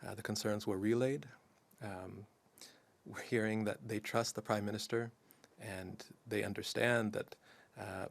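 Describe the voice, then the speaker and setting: A man's voice: lowish voice, vocal fry. A man answering questions as a community advocate at a news conference, frank and pointed with a dry, sarcastic edge. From Canada.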